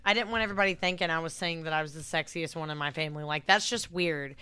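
A woman in her twenties with a deep voice, co-hosting a casual podcast and teasing back. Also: bright, forceful tone, clear, resonant tone, no room sound. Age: 20s. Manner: chatty, quick to tease back. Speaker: podcast co-host.

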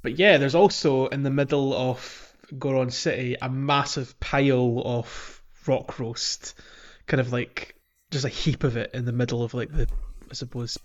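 A man's voice: medium pitch, fairly even intonation, unhurried, few pauses, a little hiss or hum. A man co-hosting a podcast, chatting casually about gaming in a hesitant, rambling way. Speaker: podcast co-host. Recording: dull lo-fi audio, music behind.

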